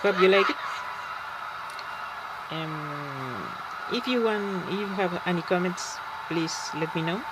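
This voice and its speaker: A man from Chile with a Chilean Spanish accent, a Spanish speaker talking casually in English over gameplay and often pausing to search for words. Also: high-pitched. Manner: halting, searching for words with many fillers. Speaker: gaming channel host. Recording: loud background noise.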